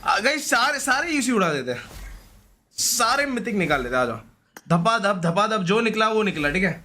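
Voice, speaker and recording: A man's voice: high voice, sing-song, moderate pace, bright, forceful tone. A man giving casual, slangy live gaming commentary, often repeating short pleading phrases. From India. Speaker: gaming streamer.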